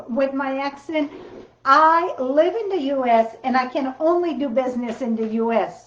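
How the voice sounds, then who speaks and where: Brief: woman host with a French accent, high and unhurried, chatty livestream demo, roomy home craft-room sound. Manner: chatty, rambling, loose non-native grammar. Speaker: card-making livestream host. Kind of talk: casual live demo chat. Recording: roomy, slightly dull sound.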